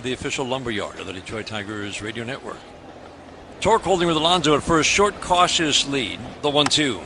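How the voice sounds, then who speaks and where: An American man calling a baseball game live on the radio as the play-by-play announcer. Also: medium pitch. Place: outdoor ballpark broadcast booth. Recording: outdoor stadium ambience, clear background noise. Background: stadium ambience noise.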